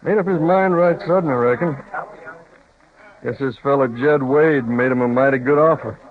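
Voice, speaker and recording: A man's voice: mid-range voice, close to an everyday voice. A man playing a drawling cowboy foreman. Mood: puzzled. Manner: slow, folksy cowboy talk. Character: puzzled, plain-spoken ranch foreman. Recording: tinny old radio broadcast.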